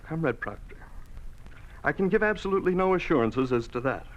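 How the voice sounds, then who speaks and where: An actor playing a formal, officious bureaucrat in an old-time radio drama, measured and coolly questioning. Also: male voice, fairly high pitch, expressive, close to an everyday voice. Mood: stern. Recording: dull, band-limited old radio recording.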